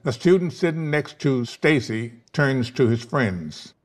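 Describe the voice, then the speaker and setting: A man's voice: medium pitch, animated, measured pace. A man reading scene headings and stage directions as narrator in a scripted table read.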